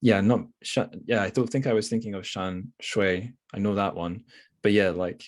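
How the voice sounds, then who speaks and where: A man's voice: on the low side, steady tone, normal speed. A man hosting a casual literary interview, thinking aloud in a hesitant, rambling way. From Scotland. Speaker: podcast host.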